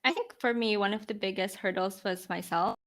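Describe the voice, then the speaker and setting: A woman's voice: mid-range voice, a little fast, fairly bright tone. A woman of about twenty speaking reflectively and informally in an interview, often dropping in "like" and "you know". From Philippines. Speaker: podcast guest, startup founder.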